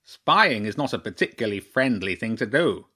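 A man's voice: fairly low pitch, big pitch swings, normal speed, sharp tone, breathy edge, exaggerated voice. A man voicing a stern, shrewd old crow chairman in a storybook, speaking formally and pompously. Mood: stern, probing. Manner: pompous, clipped, formal pronouncements.

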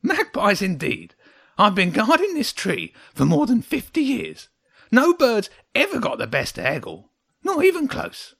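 A man voicing a grumpy, defensive little old hedgehog guard in a children's audiobook, indignant and mocking in a high, creaky voice. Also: wildly swooping pitch, a little slow, fairly bright tone, no echo, studio quality, exaggerated voice. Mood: scornful. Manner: indignant, spitting, mocking, exclamatory. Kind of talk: children's audiobook character acting.

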